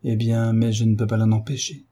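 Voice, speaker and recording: Man as a blunt, cheeky schoolgirl, dark husky tone. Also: lowish voice, little pitch movement, brisk. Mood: blunt, calm. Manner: frank, placid, dryly defiant. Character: blunt, cheeky, stubborn thirteen-year-old schoolgirl.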